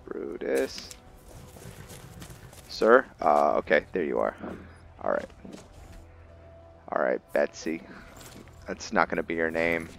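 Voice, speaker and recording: A man giving casual let's-play commentary and roleplay narration in a halting, musing way, often correcting himself. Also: a bass voice, very animated, creaky, a bit bright, faint music behind. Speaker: host of a roleplay gaming series.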